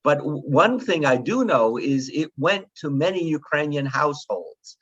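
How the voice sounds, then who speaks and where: A man in his seventies giving closing remarks at a conference, speaking in a measured, digressive way with frequent fillers and restarts. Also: on the high side, expressive, a bit bright, slightly rough, no room sound. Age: 70s. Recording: dull lo-fi sound, dry.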